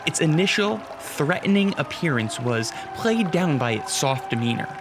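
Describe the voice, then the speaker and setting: An actor playing a solemn storyteller, delivering scripted dramatic narration for a monster adventure radio drama. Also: male voice, on the high side, big pitch swings, normal speed. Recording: music bed behind voice.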